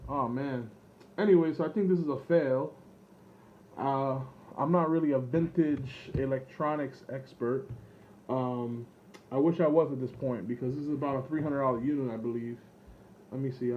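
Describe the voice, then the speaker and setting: Guy rambling thru a gadget demo, kinda unsure of himself, dull audio w some background noise. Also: medium pitch. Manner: hesitant, rambling, self-doubting. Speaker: online seller demonstrating vintage electronics. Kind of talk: unscripted product demo vlog, thinking aloud.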